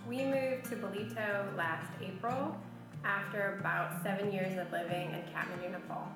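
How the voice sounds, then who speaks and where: A woman speaking slowly and gently about moving with her husband. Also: mid-range voice. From United States. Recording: distant, echoey, with guitar music.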